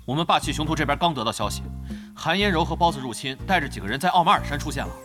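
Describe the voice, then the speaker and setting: A man's voice: on the high side, lively intonation, very fast, sharp tone. A man playing an earnest, wary guild strategist in a Mandarin audio drama, businesslike and persuasive. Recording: music bed under the dialogue.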